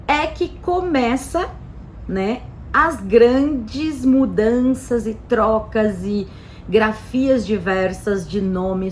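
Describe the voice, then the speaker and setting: A woman's voice: medium pitch, a little hiss or hum. A woman with a Brazilian Portuguese accent explaining things casually to camera in a chatty way, often tagging her sentences with "né" and "tá". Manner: chatty, frequent "né" and "tá" tags, animated emphasis. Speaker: Italian citizenship specialist presenting a channel.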